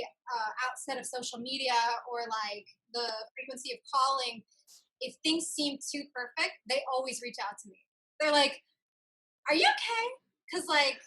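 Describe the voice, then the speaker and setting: A woman's voice: high-pitched, bright, forceful tone, poor audio. A woman hosting an interview show, talking in a casual, reflective way and often circling back to rephrase as she thinks aloud.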